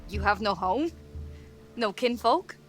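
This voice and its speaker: A woman's voice: mid-range voice, animated, slow pace, fairly bright tone, faint music behind. An actress playing a bold, friendly young Norse village woman in a video game, speaking directly and eagerly. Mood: surprised, probing. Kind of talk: dramatic video game acting.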